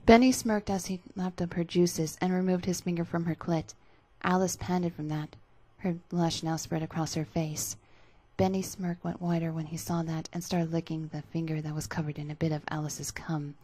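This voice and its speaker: A woman's voice: on the low side, steady tone, normal speed, dark tone. A woman narrating scripted fan fiction as a dramatic reading.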